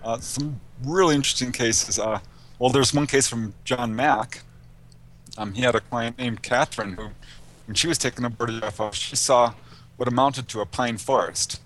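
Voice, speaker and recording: A man's voice: mid-range voice, moderate pace, a bit bright. A middle-aged man speaking as an interview guest, casually recounting cases and anecdotes. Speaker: interview guest, UFO researcher and author.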